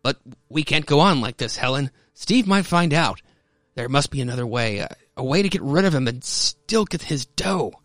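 A man's voice: medium pitch, lively intonation, moderate pace, fairly bright tone, no room sound, close to an everyday voice. A man playing a cynical, scheming younger brother in a radio-play melodrama, pushy and insinuating.

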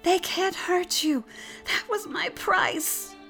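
A woman's voice: high voice, little pitch movement, normal speed, dark tone, faint music behind, voice pushed up, close to an everyday voice. A young male wolf with a raised voice, acted out by a woman storyteller. He is frightened, guilty and devoted, confessing like a remorseful storybook hero. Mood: resigned, tender.

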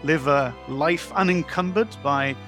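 Higher-voiced man, measured and emphatic. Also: fairly bright tone. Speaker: water expert and author. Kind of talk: reflective interview talk. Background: background music.

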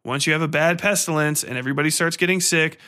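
Higher-voiced man on a profane rant. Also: quick pace, sharp tone. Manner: profane, irreverent, slangy; exasperated, sarcastic. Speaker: podcast host reviewing a film. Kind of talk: casual solo commentary, ranting review.

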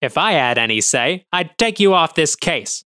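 A man's voice: on the low side, expressive, fairly quick, sharp tone, smooth, no room sound, studio quality. A man voicing a scrawny, ferret-like police officer who barks and sneers in an audio drama. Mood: sneering hostile. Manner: barking, sneering.

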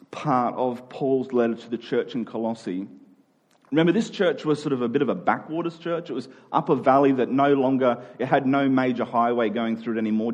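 A man's voice: medium pitch, darkish tone, lo-fi sound. A man preaching a sermon as a pastor, speaking informally and plainly and repeating phrases for emphasis. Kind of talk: sermon, conversational expository preaching.

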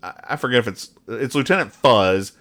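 A man with a mid-pitched voice hosts a casual comedy podcast. He talks through comic strips aloud, explaining them with wry, mock-earnest banter. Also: a little fast, a bit bright. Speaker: podcast host.

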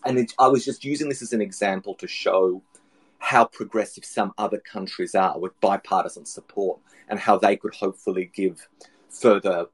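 Husky-voiced Australian man. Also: lowish voice. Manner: emphatic, opinionated. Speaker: panel guest. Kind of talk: casual panel discussion.

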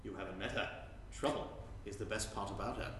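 An actor playing a weary, reluctant retired spy in a live comic play reading, earnest, sardonic and resigned. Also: male voice, fairly low pitch, fairly quick, a bit bright. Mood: resigned, bleak. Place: live performance in a room. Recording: roomy live recording, faint noise.

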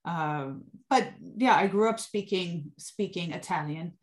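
A woman's voice: fairly low pitch, a little slow. A woman from New York being interviewed, explaining at length with many restarts. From New York, USA. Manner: fluent but full of fillers and restarts, explanatory. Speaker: guest. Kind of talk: interview storytelling.

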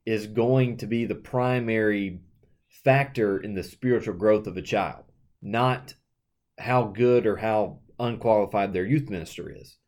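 A man's voice: lowish voice, measured pace, darkish tone. A man, a youth minister, talking through a Bible passage and its application in an earnest, explanatory way. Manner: earnest, explanatory, with frequent "you know" and restarts. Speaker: youth minister, co-host of a Bible study podcast. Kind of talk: conversational Bible study discussion.

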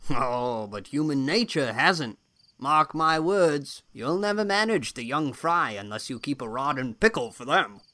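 A woman voicing a blunt, teasing old farmer man who drawls in a gruff, rustic way. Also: extremely low pitch, expressive, a little slow, bright, forceful tone, pitched below the performer's own voice, full character voice.

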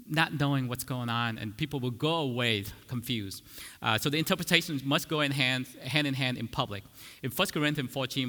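Man, Vietnamese first language, sermon, crisp bright tone, earnest explaining, hall reverb. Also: mid-range voice. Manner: earnest, explanatory, with frequent restarts and fillers. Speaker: preacher. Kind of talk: teaching sermon.

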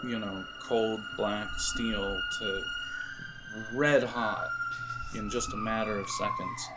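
A man running an improvised tabletop role-playing game, narrating in a halting, conversational way. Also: on the low side, low-quality recording. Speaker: game master. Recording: music behind the voice. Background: music.

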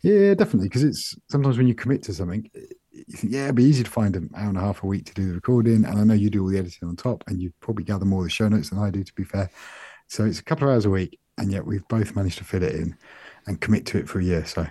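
A middle-aged British man co-hosting a podcast, chatting casually in a soft, rambling way. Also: fairly low pitch, very fast, husky, no echo. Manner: soft-spoken, relaxed and rambling. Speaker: podcast co-host. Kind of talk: casual chat.

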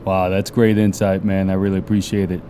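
Deep, mellow-voiced male interviewer. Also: flat delivery, fairly quick, a little hiss or hum. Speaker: podcast host, interviewer. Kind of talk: conversational interview.

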